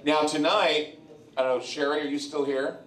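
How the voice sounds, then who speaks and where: A man's voice: fairly high pitch, steady tone, normal speed, a bit bright. Rambling male radio host in a roomy venue. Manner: rambling, conversational, repeats words. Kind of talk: live event talk to an audience. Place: live venue with audience. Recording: roomy live venue, mic heard from a distance.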